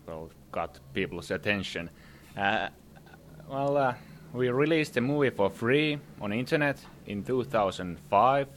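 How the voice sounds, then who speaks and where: A young man talks about his work in a low voice, hesitating and filling gaps with "uh" as he goes. Faint noise sits behind him at a conference venue. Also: a bit bright. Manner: hesitant, many fillers, laughs while talking. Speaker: filmmaker guest. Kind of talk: casual interview answers.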